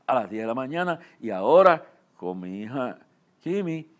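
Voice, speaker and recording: An older man with a Puerto Rican accent giving an informal religious address and personal testimony, earnest and halting, repeating phrases as he speaks straight to listeners. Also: mid-range voice, very animated. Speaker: preacher and ministry leader.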